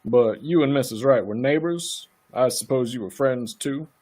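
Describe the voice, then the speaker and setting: Man playing an officious county attorney, slightly husky. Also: medium pitch, moderate pace, darkish tone, lightly acted. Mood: patronizing. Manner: patronizing, officious. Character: brisk, condescending county attorney questioning witnesses. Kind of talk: live radio play acting.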